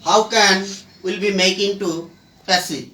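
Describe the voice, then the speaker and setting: A teacher explaining English grammar step by step, in short halting phrases broken by pauses. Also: mid-range voice, steady tone, sharp tone, slight background noise. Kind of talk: slow explanatory grammar lesson. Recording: roomy echo, distant microphone.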